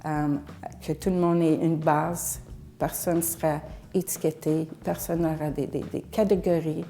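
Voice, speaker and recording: An older woman whose first language is English, from Alberta, giving an earnest, halting personal testimony as a feminist activist. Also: sits low, little pitch movement, mellow, slight background noise. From Alberta, Canada. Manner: earnest, halting with frequent pauses.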